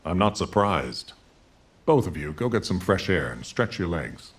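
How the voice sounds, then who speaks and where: An actor playing a steady, decisive military commander in a video game, speaking with firm authority. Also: male voice, low voice, measured pace, a little hiss or hum. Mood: calm, considerate. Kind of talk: video game cutscene acting.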